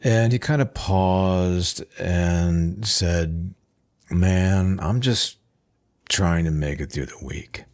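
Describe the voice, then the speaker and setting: A man with a very low voice talks at a slow pace. He muses in a conversational monologue, hesitant and understated, as if thinking each point through. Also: darkish tone. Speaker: video essayist.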